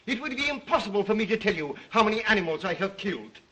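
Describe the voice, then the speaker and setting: A Russian-accented count obsessed with the hunt. The voice is high for a man and cuts brightly. He speaks with courtly, brooding deliberation in the style of old film melodrama. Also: expressive, quick pace, heavily acted. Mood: proud, boastful. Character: aristocratic Russian count, suave, obsessive big game hunter. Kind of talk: 1930s film-era melodramatic acting.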